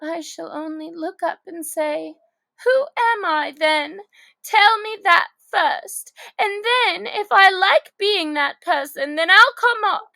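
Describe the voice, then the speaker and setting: A woman's voice: very high voice, lively intonation, slow pace, vocal fry, sharp tone, no echo, voice pushed up, full character voice. A woman voicing a distressed young girl in a children's storybook, with an exaggerated, plaintive delivery. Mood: stubborn, indignant. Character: Alice, distressed young girl, dramatic and tearful. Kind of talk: children's storybook character acting.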